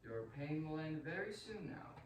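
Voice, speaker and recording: Creepy polite vampire nobleman, radio drama, high swoopy voice, super formal, mic kinda far off. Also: sing-song. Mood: ominously polite. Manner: formal, deliberate, menacingly polite. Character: Count Dracula, courtly, sinister vampire nobleman from Transylvania. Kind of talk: theatrical radio drama acting. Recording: very echoey room, distant mic, faint noise.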